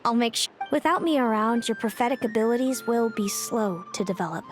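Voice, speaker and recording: A woman's voice: on the high side, a little fast, close to an everyday voice. A woman playing a composed, self-assured young female competitor in a video game. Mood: calm, resolute. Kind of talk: game voice acting. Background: loud background music.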